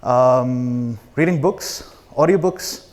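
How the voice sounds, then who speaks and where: A man's voice: mid-range voice, takes it slowly, a little mellow. A man in his thirties from Israel, an Arabic speaker, giving an informal, blunt personal talk as a startup founder. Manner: casual, blunt, swearing.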